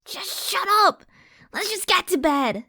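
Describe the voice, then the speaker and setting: A woman's voice: extremely high pitch, lively intonation, measured pace, bright, forceful tone, exaggerated voice. A woman voicing a frightened, grumpy young filly pony with a blunt, exclamatory way of talking. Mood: scared, irritated.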